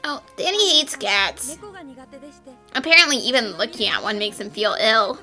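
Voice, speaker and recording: Woman playing a bright, high-voiced polite maid. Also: big pitch swings, normal speed, soft background music, heavily acted. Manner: courteous. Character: polite, helpful young female house maid. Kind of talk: fan dub game voice acting.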